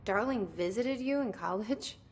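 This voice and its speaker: A woman's voice: a little high, expressive, normal speed, slight background noise. An actress playing a determined, guarded young woman in a video game, speaking tersely and directly. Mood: curious, guarded. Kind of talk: video game dialogue acting.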